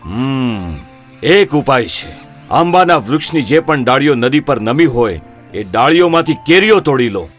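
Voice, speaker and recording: A man voicing a commanding, stately animal king in a children's animated story. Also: medium pitch, a little slow, soft background music. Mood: grave. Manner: stately, authoritative. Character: commanding male animal king, lion or monkey ruler.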